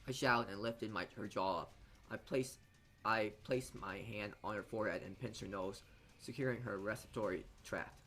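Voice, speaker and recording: A man with a fairly low voice narrates a visual novel for a let's play. He reads dramatically but haltingly, often tripping on words and starting them again. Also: a bit bright. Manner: halting reading, often stumbling and repeating words. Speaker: gamer reading a visual novel aloud.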